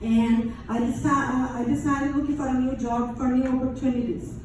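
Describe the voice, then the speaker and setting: Echoey, halting Brazilian woman, high voice. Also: little pitch movement. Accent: Brazilian Portuguese. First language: Portuguese. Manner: halting, with non-native English phrasing. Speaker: survivor giving testimony. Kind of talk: personal testimony to an audience. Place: large echoey room, before an audience. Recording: very echoey room, distant mic, faint noise.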